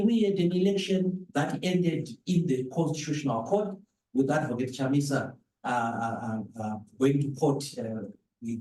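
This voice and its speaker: A Zimbabwean man with a dark, relaxed, mid-pitched voice speaks as a politician at a public dialogue. He picks his words deliberately, pausing and repeating for emphasis. The live recording is echoey, with the microphone some way off. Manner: deliberate, hesitant phrasing with emphatic repetition. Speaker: opposition politician, panel speaker. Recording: roomy live event recording, slightly distant mic.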